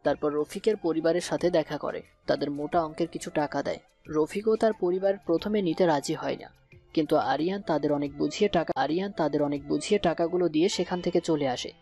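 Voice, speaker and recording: A woman's voice: deep voice, fairly quick, dark tone. A woman narrating a scripted romantic audio story. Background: faint background music.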